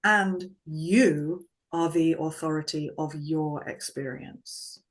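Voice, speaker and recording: Low, slow, calm woman voice coach; measured and reflective. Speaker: guest, vocal confidence coach. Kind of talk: conversational interview.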